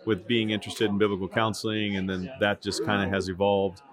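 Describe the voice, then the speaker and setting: A man hosting a podcast interview at a conference, relaxed and halting, with many restarts. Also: fairly low pitch. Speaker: podcast host. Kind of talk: casual interview. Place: conference venue. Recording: crowd chatter behind, at a conference.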